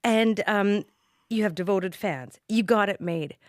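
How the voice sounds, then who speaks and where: A woman's voice: mid-range voice, a little slow, no echo. A woman hosting a radio interview from the studio, teasing her guest and laughing easily. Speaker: radio show host, interviewer. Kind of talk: casual interview.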